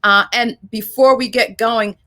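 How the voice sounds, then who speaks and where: A woman's voice: fairly high pitch, steady tone, unhurried, pushed and bright. Woman moderating a panel, speaking emphatically. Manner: emphatic, complimentary introductions. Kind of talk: hosting a virtual panel discussion.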